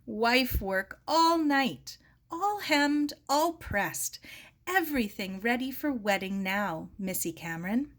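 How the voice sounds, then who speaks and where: Woman voicing a humble immigrant man, halting. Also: on the high side, animated, a bit bright, voice pushed up. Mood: proud, eager. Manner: broken English, halting and polite. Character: gentle, humble older Japanese immigrant man, sorrowful.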